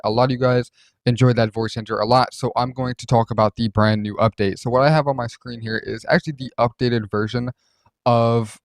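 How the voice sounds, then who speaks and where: A man hosting a casual software walkthrough, explaining things in an informal, loosely rambling way. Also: mid-range voice, dry recording. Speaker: host reviewing voice changer software.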